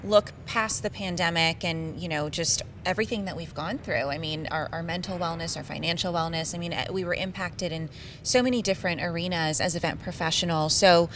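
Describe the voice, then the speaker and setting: Woman, hoarse, raspy, losing her voice, upbeat host, faint rumble behind. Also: brisk, a bit bright. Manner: upbeat, chatty, uses many ums. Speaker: show host. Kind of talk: live trade-show interview. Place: trade show venue.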